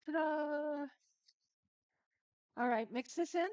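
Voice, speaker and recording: Chatty higher-voiced woman, dry sound. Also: takes it slowly, darkish tone, dry recording. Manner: chatty, talks straight to viewers, laughs easily. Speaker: cooking stream host. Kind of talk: casual live-stream cooking demo.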